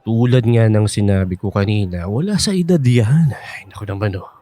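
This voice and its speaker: A man's voice: fairly low pitch, lively intonation, normal speed, warm tone, no echo, close to an everyday voice. An actor playing a flirtatious, teasing man of sixty-five with a Filipino accent in a radio drama. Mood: teasing, boastful. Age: 60s. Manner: teasing, cajoling, exaggerated swooping delivery.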